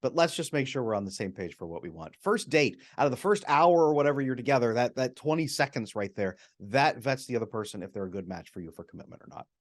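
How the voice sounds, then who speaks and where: A man, a retired psychotherapist, speaking as a podcast guest and explaining at length, often acting out quoted people with sudden jumps up in pitch. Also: expressive, fast talker, hardly any pauses. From America. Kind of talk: animated explanatory interview talk.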